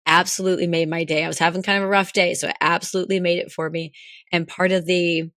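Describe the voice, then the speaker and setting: Hoarse young woman co-host, low voice, dry close sound, chatty and rambling. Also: little pitch movement, fast talker, fairly bright tone, dry recording. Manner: chatty, laughs often, rambling restarts. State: hoarse, recovering lost voice. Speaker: podcast co-host. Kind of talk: casual chat.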